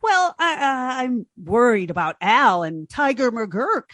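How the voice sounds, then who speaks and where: Woman playing flustered, high-voiced naive young roommate. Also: big pitch swings, measured pace, fairly bright tone, no room sound, clean studio sound. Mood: anxious. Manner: hesitant, flustered, stammering. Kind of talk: 1940s radio comedy acting.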